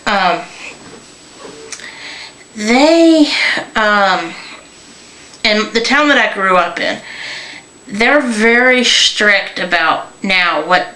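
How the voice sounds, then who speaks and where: A young adult woman from Northern Kentucky, telling local stories in a casual, halting vlog full of fillers and restarts. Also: medium pitch, pushed and bright, roomy sound, rough recording. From Northern Kentucky, USA. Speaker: vlogger. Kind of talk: casual rambling storytelling.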